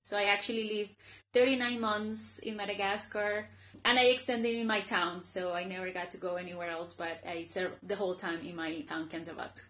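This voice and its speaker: A woman's voice: medium pitch, steady tone, brisk, fairly bright tone, muffled recording. A woman in her thirties from Colombia, a native Spanish speaker, telling her story in a casual interview with lots of filler words. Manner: chatty, many "like" fillers. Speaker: guest, former volunteer.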